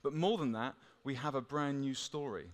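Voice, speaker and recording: A man's voice: mid-range voice, moderate pace. A man in his thirties presenting a live car show on stage with a headset mic, explaining at length with frequent fillers. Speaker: creative director presenting a show. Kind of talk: presentation speech. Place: dark stage or studio. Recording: loud vehicle noise behind.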